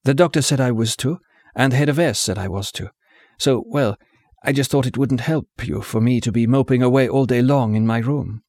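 A man voicing an eager, fragile young woman who speaks softly and pleadingly in an audiobook reading. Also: medium pitch, moderate pace, few pauses, dry recording, clean studio sound, natural voice. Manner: soft, pleading, rising to tearful.

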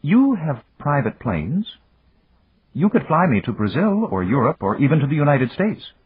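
Man voicing a dignified, spirited young woman, raised pitch. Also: very animated, normal speed, slightly rough, heavily acted. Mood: excited. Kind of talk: audiobook character voice. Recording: echoey room, muffled narrow-band sound.

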